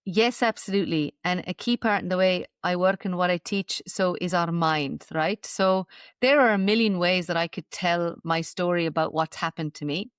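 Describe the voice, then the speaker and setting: A woman's voice: on the low side, moderate pace, smooth, no room sound, studio quality. A woman guest in a podcast interview, talking earnestly about mind-body healing and often checking in with "right?". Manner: earnest, frequent "right?" tag questions. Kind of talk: reflective interview talk.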